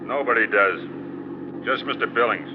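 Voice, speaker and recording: An actor playing a surly, tight-lipped hired driver in a 1950s radio drama, answering tersely and flatly. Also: male voice, mid-range voice, moderate pace, lightly acted. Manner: terse, flat, unforthcoming. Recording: tinny noisy vintage radio, car sound.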